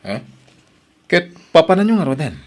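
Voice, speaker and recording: A man voicing a cheerful young businessman, lively and eager, in an audio drama. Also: fairly high pitch, moderate pace. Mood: confused. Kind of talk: Ilocano audio drama acting.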